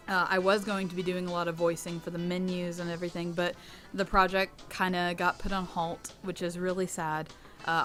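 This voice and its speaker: Low-voiced young woman chatting candidly. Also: a bit bright. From United States. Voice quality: low rich voice, sometimes taken for a boy. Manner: chatty and candid, with laughs and restarts. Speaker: channel host answering fan questions. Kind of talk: casual Q&A monologue.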